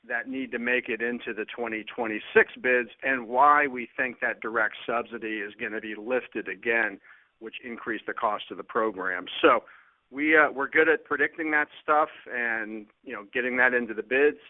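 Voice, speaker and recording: A man's voice: medium pitch, moderate pace. Male executive on a phone-like line. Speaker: company finance executive. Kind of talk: earnings call answers. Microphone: conference call line. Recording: narrow phone-line sound.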